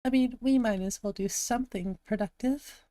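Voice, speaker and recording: Gravelly-voiced woman streamer, dry close mic. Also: a little high, moderate pace, dark tone, no room sound. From Montana, USA. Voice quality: gravelly, slightly raspy. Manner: chatty, thinks aloud, reads and answers chat. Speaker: game streamer. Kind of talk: casual live-stream commentary while playing.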